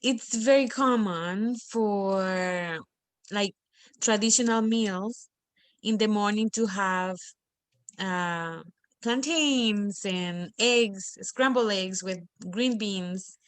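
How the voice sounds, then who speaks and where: Young salvadoran woman leading a class video call, native spanish, slow and friendly, dry close sound. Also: mid-range voice, no echo. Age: young adult. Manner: unhurried, friendly, with small laughs. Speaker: student facilitating a class discussion.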